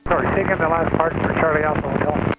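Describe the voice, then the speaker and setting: A man's voice: fairly high pitch, steady tone, fast. A man, a pilot, making somewhat hesitant call-ins over aviation radio. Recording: muffled radio, loud aircraft noise.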